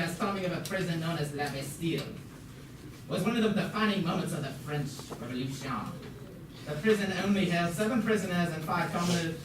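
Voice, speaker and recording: A young student voicing a formal puppet museum guide in an amateur school show, lecturing haltingly and stumbling over words. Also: medium pitch, lightly acted. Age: teenager or young student. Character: puppet museum guide, formal, mock-learned, named Schnitzelbach. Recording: noisy, echoey room, far from mic.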